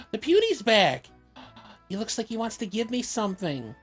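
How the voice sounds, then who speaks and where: A woman's voice: a little high, very animated, moderate pace, breathy edge, faint music behind. A woman chatting casually as she plays a video game and comments on it. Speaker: game player. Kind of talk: casual gameplay chat.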